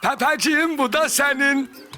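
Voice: high voice, moderate pace, sharp tone, soft background music. An actor playing a kindly, affectionate old grandfather in a preschool cartoon, explaining things patiently. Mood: doting. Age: older.

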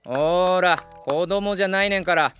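A man acting an easygoing, well-meaning young high school teacher with a Kansai accent, mild and gently scolding. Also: moderate pace. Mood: gently firm. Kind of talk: Japanese voice drama acting. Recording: music behind the voice.

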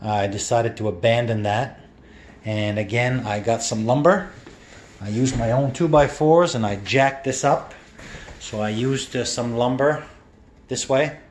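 A man's voice: mid-range voice, measured pace, slight background noise. A man giving a casual, rambling walkthrough of his kitchen renovation with lots of fillers, recorded on a handheld phone in the kitchen. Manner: rambling, many fillers and restarts. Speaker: home renovation vlogger. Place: kitchen under renovation, indoors. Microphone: handheld phone camera.

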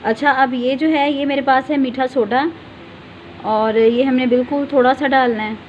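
A woman's voice: high voice, steady tone, normal speed, lo-fi sound, a little hiss or hum. A woman hosting a home cooking video, patiently walking viewers through each step and pointing out what to look at. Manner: patient, repeats fillers often, directs viewer to look. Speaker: home cooking channel host.

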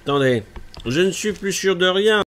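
A man's voice: high-pitched, animated, normal speed, a bit bright. A man in his thirties with a cold, chatting casually to his live-stream viewers at home while fixing tech problems and gaming. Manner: chatty, self-mocking, fussing over tech trouble. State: stuffy with a cold. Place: small room at home, gaming chair.

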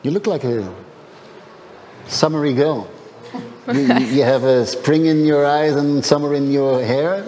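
A man's voice: on the high side, measured pace, long pauses, warm tone. A middle-aged man hosting a festival interview, asking playful, complimentary questions. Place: festival press area, large hall. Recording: hall reverb, faint background noise.

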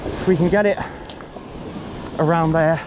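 Guy fishing on the rocks, kinda high voice, swearing and hyped, wind and waves all over it. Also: measured pace. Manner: excitable, swearing, exclaiming. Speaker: angler filming himself. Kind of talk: live excited commentary while fishing. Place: rocky seashore outdoors. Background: wind and sea ambience.

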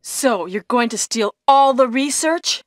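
An actress playing a brave young heroine in a video game, earnest and deliberate, with stilted delivery. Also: female voice, fairly high pitch, expressive, dry recording, clean studio sound. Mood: accusing. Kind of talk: video game cutscene acting.

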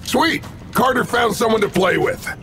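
An actor playing a cocky, flippant action hero in a video game, tossing off sardonic one-liners. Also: male voice, on the high side, big pitch swings, moderate pace, vocal fry, background noise. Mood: breezy, flippant. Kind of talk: video game cutscene acting.

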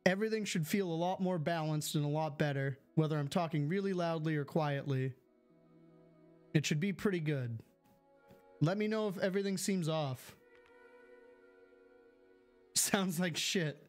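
A man with a fairly high voice chatting his way through a live game stream at home. He reads chat messages aloud and answers them in an easygoing way, heard on a close studio mic. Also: unhurried, long pauses, faint music behind. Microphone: studio mic with filters.

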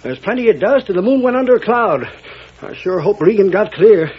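A man's voice: high-pitched, expressive, a little fast, breathy edge. A man playing a shifty young cowhand with a folksy drawl, in 1940s radio acting style. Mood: falsely innocent. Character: shifty, two-faced young cowhand feigning innocence. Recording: muffled old radio broadcast, background din.

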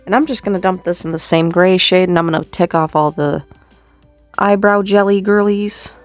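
A young woman with a somewhat low voice, a little sore and scratchy, chatting in a relaxed, self-deprecating way as she does her makeup. She talks close to a small desk mic in a dry, dead-sounding bedroom, with faint music underneath. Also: moderate pace, dry recording. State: slightly sore voice. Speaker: makeup vlogger. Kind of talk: casual get-ready-with-me chat. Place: small bedroom with red curtain backdrop. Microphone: small desk mic on boom arm close up.

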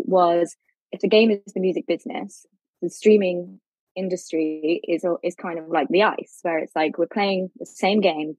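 A woman with a slightly husky, lowish voice explains legal ideas in a podcast chat. She leans on homely analogies and loose fillers, and the recording is close and dry. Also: a little mellow, no echo, rough recording. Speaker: legal academic guest.

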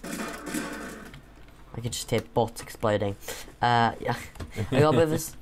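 A young man with a slightly croaky sore throat, chatting and teasing his father while they play a game together, often pausing with ums. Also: mid-range voice, background noise. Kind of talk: casual gaming chat.

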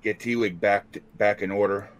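A man playing a tabletop role-playing game, speaking improvised in-character dialogue in an earnest, measured way. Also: on the low side, level tone.

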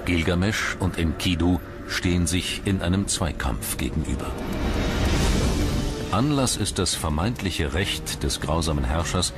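A man reading scripted documentary narration in a measured, formal manner. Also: low voice, expressive. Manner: measured, formal read delivery. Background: background music.

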